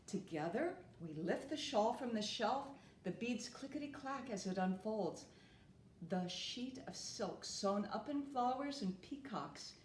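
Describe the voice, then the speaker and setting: Woman reading a kids picture book, slow n expressive, highish voice, roomy echo. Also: a little hiss or hum. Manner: deliberate, expressive phrasing. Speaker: storyteller. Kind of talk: expressive children's storybook reading. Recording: roomy, echoing room.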